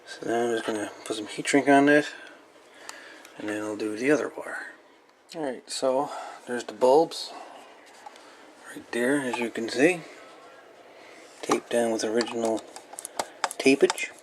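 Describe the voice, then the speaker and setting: A man giving a casual hands-on repair walkthrough in a halting, folksy way with colloquial grammar. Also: mid-range voice, noisy background. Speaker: repair video host. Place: indoor electronics workbench.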